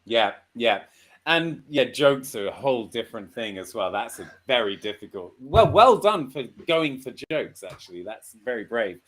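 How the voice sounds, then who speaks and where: A man's voice: mid-range voice, sing-song, moderate pace, fairly bright tone. A man hosting a casual online lesson, chatty, with frequent laughs and fillers. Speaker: host and English teacher.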